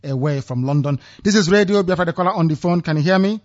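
A male call-in radio host with a slightly raised pitch, heard through dull, lo-fi audio. He speaks firmly and declares his points. He keeps calling out to the callers on the line. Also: fast talker, darkish tone. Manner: firm, declaring, repeatedly hailing callers.

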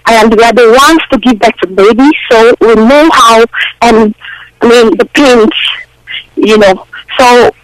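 A woman from Nigeria calling into a radio show, talking in halting bursts with many fillers over a phone line. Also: a little high, no room sound. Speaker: radio call-in caller. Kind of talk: phone-in chat.

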